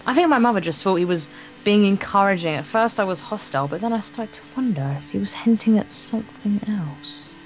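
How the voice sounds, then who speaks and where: A woman's voice: mid-range voice, expressive, fairly quick, poor audio. A woman reading visual novel narration aloud as a let's play co-host, stumbling and correcting herself as she goes. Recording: guitar music behind. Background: game's guitar music.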